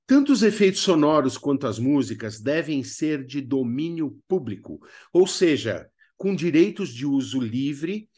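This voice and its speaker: A man with a Brazilian accent presenting an instructional lesson as a workshop teacher, didactic and emphatic with informal asides. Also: a little high. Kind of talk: instructional video lesson narration.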